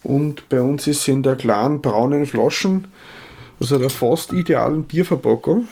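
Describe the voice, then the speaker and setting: Husky, gravelly man explaining. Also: medium pitch, normal speed, dark tone. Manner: informative, adds facts and dry asides. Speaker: beer podcast co-host. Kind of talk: casual beer tasting chat.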